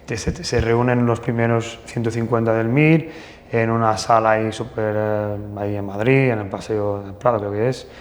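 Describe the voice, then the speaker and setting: A man's voice: fairly low pitch, fairly even intonation, moderate pace. A man from northern Portugal, a plastic surgeon telling anecdotes in an interview, hesitant with many restarts and fillers. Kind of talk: casual interview storytelling. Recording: long hall reverb.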